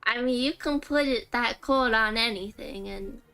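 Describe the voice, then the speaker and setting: A woman's voice: on the high side, a little slow, pushed and bright, smooth, soft background music. A woman livestreaming a game, chatting casually and ramblingly with her viewers. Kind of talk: casual livestream chat while gaming.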